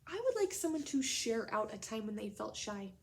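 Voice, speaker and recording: Female elementary teacher, slightly high voice, guiding a children's read-aloud with clear, simple prompts. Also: sing-song, normal speed. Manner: instructional, addresses children with simple questions and prompts.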